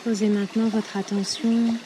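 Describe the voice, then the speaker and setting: A woman leading a guided yoga nidra meditation in a soothing, unhurried way, with short phrases and long pauses. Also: medium pitch, flat delivery, slight background noise, low-quality recording. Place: outdoors at a riverbank. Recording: outdoor recording with loud running river water. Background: flowing, trickling stream water.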